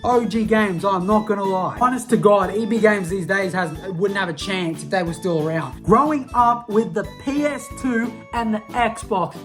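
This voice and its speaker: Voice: mid-range voice, normal speed, hardly any pauses, over music. A young adult with an Australian accent, ranting casually and self-mockingly to camera in a slangy, rambling way full of restarts. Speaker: vlogger. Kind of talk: casual nostalgic rant to camera.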